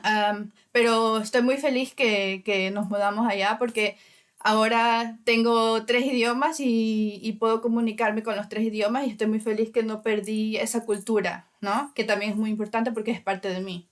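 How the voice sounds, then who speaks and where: A woman's voice: a little high, steady tone, moderate pace. A woman from Ecuador in her thirties, speaking hesitantly with many fillers as an interview guest. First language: Spanish. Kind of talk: casual interview.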